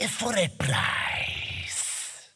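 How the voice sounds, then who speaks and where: An actor playing a greedy many-armed male creature mercenary who speaks slowly with a gravelly, hissing delivery. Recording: game audio with music behind.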